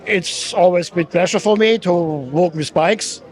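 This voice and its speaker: German man with a Manx twang. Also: sits high. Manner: short hesitant answers, halting restarts. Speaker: bike mechanic. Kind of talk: casual interview. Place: marquee at an outdoor bike event.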